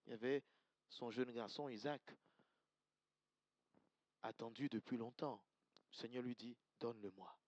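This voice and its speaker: A man's voice: mid-range voice, steady tone, unhurried, long pauses, darkish tone, no echo, rough recording. A man from Côte d'Ivoire, preaching an earnest, emphatic sermon to his congregation and repeating key lines. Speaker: pastor. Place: church service.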